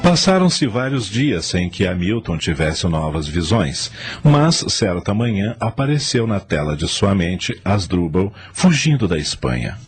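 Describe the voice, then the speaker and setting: A man's voice: deep voice, very animated, measured pace. A man narrating a radio drama in a formal, scripted storytelling manner.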